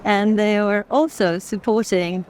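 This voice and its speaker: A woman's voice: medium pitch, unhurried, darkish tone, a little hiss or hum. A woman in an unscripted walking conversation about art, explaining reflectively and building long sentences in phrases. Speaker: art curator guiding a walk through a fair. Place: busy art fair hall, walking.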